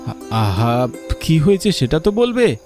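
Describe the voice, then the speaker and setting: Caring young husband for a cartoon, acted by a man; mellow, slightly high voice, gently persuasive. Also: very animated, unhurried, soft background music. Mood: concerned, soothing. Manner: coaxing, reasoning. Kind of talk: animated story character acting.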